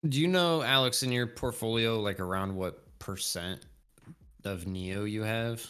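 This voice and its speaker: Guy around 30 chatting on a live stream, lowish voice, kinda rambly. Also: a little slow, a bit bright, clean tone. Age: 30s. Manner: chatty, rambling, laughs easily. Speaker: co-host. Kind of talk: casual livestream chat.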